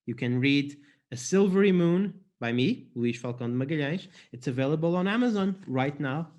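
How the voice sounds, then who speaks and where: A man's voice: a little high, expressive, normal speed, darkish tone. A man from Portugal, an author introducing and reading his own fantasy fiction aloud.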